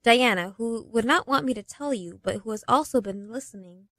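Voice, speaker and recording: An actress playing the knowing storyteller who reads the scripted narration of a radio play. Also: female voice, a little high, normal speed, a bit bright. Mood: storytelling.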